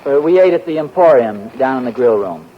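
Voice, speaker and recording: A man's voice: medium pitch, animated, fairly quick, a little hiss or hum, close to an everyday voice. An actor playing a distracted young husband who mumbles answers to his wife's questions, in 1940s radio sitcom style. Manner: absent-minded, mumbling then exasperated. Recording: dull lo-fi 1940s radio recording.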